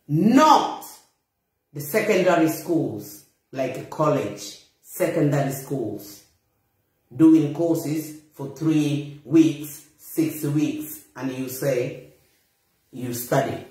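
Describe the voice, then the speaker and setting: A woman's voice: sits low, expressive, slow, darkish tone. A middle-aged woman with a Bissau-Guinean accent, delivering a blunt, indignant and emphatic confrontational monologue to a phone camera in a small room. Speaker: vlogger. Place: small bare room indoors. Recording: very echoey room.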